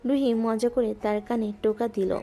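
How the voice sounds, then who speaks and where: A woman gently narrating a scripted romantic audio story. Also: a little high, fairly even intonation, moderate pace. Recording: music bed underneath.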